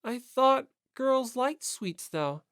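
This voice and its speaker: A woman's voice: sits high, lively intonation, slow pace, a bit bright, dry recording, studio quality, voice pushed up, heavily acted. A woman voicing an earnest, easily flustered teenage schoolboy with a hesitant, apologetic way of talking. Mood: confused, hesitant.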